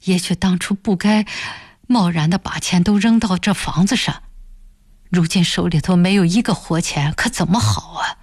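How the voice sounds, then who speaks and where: Woman voicing an earnest rustic young husband, very animated. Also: on the low side, moderate pace, no room sound, clean studio sound. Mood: sighing worry. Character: young village husband, good-natured, eager, anxious. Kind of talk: audiobook character voice.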